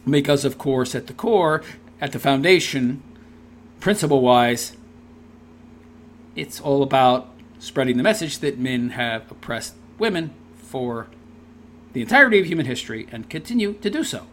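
A man's voice: mid-range voice, expressive, moderate pace, long pauses, fairly bright tone, a little hiss or hum. A man reading a text aloud and tearing into it with sarcastic, scornful commentary. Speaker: commentary video host.

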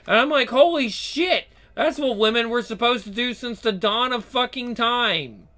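High-voiced profane man rambling. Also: expressive, normal speed, sharp tone. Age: 30s. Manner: blunt, profane, rambling with many restarts. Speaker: YouTube channel host. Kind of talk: casual chat.

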